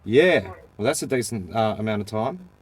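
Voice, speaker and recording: Relaxed Australian male interviewer. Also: fairly low pitch, moderate pace, husky. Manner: relaxed, encouraging, frequent short acknowledgments. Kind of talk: phone interview.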